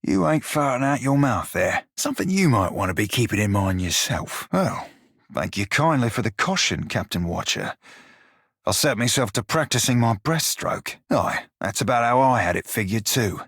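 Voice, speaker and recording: Husky salty rogue for a video game, flirty n folksy, really dry close sound. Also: male voice, mid-range voice, very animated, normal speed, a bit bright, no room sound. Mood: wry, amiable. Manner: salty pirate dialect, colloquial. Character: roguish blue-furred psychic pirate, flirty, salty, good-hearted. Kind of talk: video game character dialogue.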